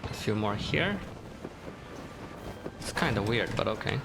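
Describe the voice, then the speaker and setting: A man's voice: lowish voice, animated, a little slow, vocal fry, very noisy. A man giving casual, laid-back gameplay commentary, muttering to himself as he plays. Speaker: gamer. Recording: game music behind voice. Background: game music.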